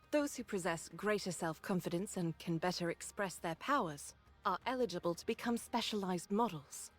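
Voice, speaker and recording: An actress playing a composed squad leader in a game cutscene, giving briefings in a measured, formal, authoritative way. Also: female voice, medium pitch, lightly acted.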